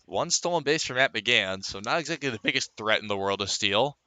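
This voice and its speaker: A man's voice: on the low side, lively intonation, fairly quick, bright, forceful tone, no room sound. An American man calling a baseball game as a live radio play-by-play broadcaster. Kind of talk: live radio sports commentary.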